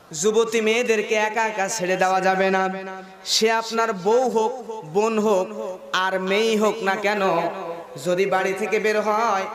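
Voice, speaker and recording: A man with a Bangladeshi accent preaching an impassioned Islamic sermon, telling stories in a chanting delivery and calling on listeners to respond. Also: high-pitched. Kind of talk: Islamic sermon with dramatic storytelling. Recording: echoing loudspeaker sound with crowd.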